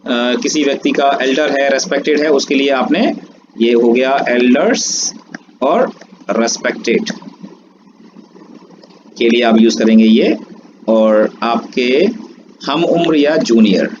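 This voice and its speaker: A man teaching a French lesson, explaining slowly and drawing words out. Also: medium pitch. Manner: slow, drawn-out explanations mixing Hindi and English. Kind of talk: online language lesson. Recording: dull lo-fi sound with steady background noise.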